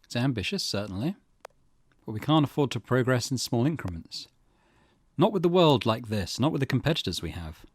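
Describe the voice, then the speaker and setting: A man voicing a calculating, ambitious tech executive in a visual novel, speaking in a measured, formal, lecturing way. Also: mid-range voice, very animated, husky, no room sound.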